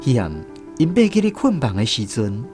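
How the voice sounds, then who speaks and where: A man's voice: medium pitch, very animated, moderate pace, music in the background. A man with a Taiwanese accent reading scripted story narration in a radio drama.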